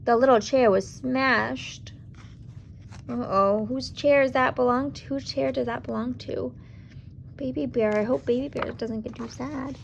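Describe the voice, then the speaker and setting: A woman reading a children's story aloud with deliberate, dramatic emphasis, speaking directly to young listeners. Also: a little high, vocal fry, dull audio, slight background noise. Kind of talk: expressive children's read-aloud with asides to listeners.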